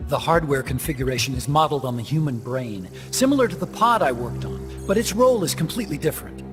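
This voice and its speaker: An actor playing an earnest, bookish scientist in a video game, explaining things a little awkwardly. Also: male voice, mid-range voice, lively intonation, quick pace, close to an everyday voice. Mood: earnest, explanatory. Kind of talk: video game cutscene acting. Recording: music under the voice.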